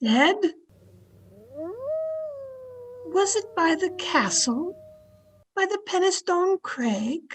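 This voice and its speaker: Woman playing high-voiced, haunted housekeeper. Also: expressive, heavily acted. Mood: anxious. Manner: dramatic, drawn-out, earnest. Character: older housekeeper, sorrowful, haunted storyteller. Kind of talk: old-time radio drama storytelling. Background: music.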